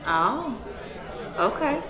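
Audio drama: stern, suspicious woman friend, blunt and sharp-toned, roomy echo, faint music. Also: a little high, sing-song, drawn-out words, a faint crowd, full character voice. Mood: exasperated, firm. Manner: blunt, commanding, sarcastic. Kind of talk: modern audio drama acting.

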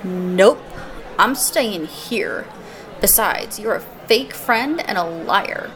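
A woman's voice: medium pitch, animated, takes it slowly, a bit bright, a faint crowd. Brash, mouthy hard-drinking woman. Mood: drunk, defiant. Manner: informal, defiant, foul-mouthed. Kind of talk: modern audio drama acting.

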